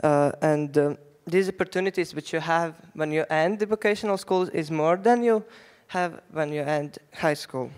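Latvian-accented teenage boy, clear voice. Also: fairly high pitch, a little mellow. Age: teens. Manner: halting non-native phrasing with fillers. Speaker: youth delegate. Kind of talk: prepared panel speech. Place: large conference hall stage. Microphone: handheld mic.

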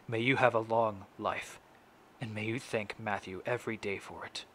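A young man acting a grieving, resolute father in a solemn, deliberate stage monologue. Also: on the low side, steady tone, a bit bright, natural voice. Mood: stern, resolute. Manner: solemn, deliberate, measured phrasing. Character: grieving, resolute middle-aged father addressing his son's killer. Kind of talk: dramatic stage monologue recitation. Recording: noisy amateur home recording.

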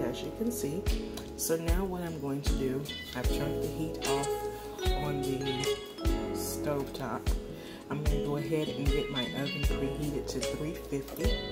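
A woman with a notably low voice walks viewers through a recipe at her home stove, chatty and direct. Food sizzles in the pan and loud music plays underneath her, recorded on a phone camera. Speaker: home cooking channel host. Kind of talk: step-by-step cooking tutorial. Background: sizzling food and loud background music.

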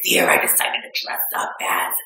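A man voicing a vain, bawdy aging beauty vlogger woman who claims to be twenty-two, with a breathy, whispery drawl and gossipy theatrical delivery. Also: moderate pace, sharp tone. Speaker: makeup tutorial vlogger. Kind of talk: comedic makeup tutorial. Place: small decorated room indoors. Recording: echoey room.

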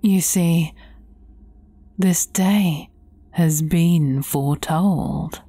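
A woman playing a menacing, gloating evil fairy sorceress in a fairy-tale audio drama, taunting deliberately and often dropping near a whisper. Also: fairly low pitch, mellow, breathy edge, no room sound, full character voice. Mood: cold menace.

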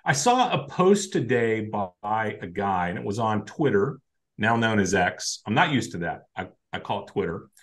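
A man's voice: lowish voice, very animated, moderate pace, a bit bright. An older man hosting a casual video call chat, upbeat, leaning hard on the words as he reads something out. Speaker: podcast host. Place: home room, indoors.

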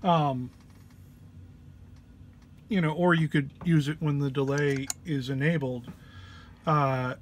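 A man's voice: on the high side, fairly even intonation, slow pace, long pauses. A man giving an informal gear walk-through as a demonstrator, hesitant and searching for words, sounding under the weather. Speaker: musician demonstrating an effects pedal patch. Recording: clear background noise.

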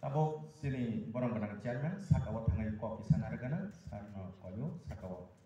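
Male ceremony announcer over PA, slow and halting, heard from afar in an echoing stadium. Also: mid-range voice, lively intonation, muffled recording. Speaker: event announcer. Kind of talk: public address announcing. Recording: distant PA in echoing stadium, crowd and noise.

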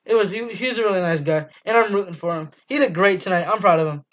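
A man's voice: sits high, fast talker, fairly bright tone. Teen boy rambling on a lo-fi webcam. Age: teens. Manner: rambling and enthusiastic, lots of ums and restarts. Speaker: fan channel host. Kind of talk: casual postgame review monologue. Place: small dim room indoors. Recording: dull lo-fi webcam audio.

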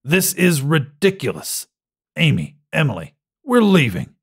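A man's voice: a little high, wildly swooping pitch, unhurried, gravelly, husky, pitched above the performer's own voice, heavily acted. A man voicing an irritated, sceptical father in an audiobook story, with a curt, huffy manner. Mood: scornful, curt. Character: irritated, sceptical, scornful father on a museum visit.

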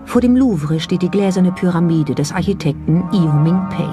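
A narrator reading a scripted documentary in a measured storytelling way, often posing rhetorical questions. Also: medium pitch, expressive. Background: background music.

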